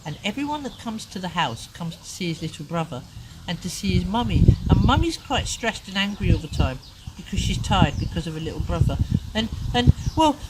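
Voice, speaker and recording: A woman telling a children's story expressively, with dramatic pauses and chatty asides. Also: on the low side, sing-song, heavy background noise.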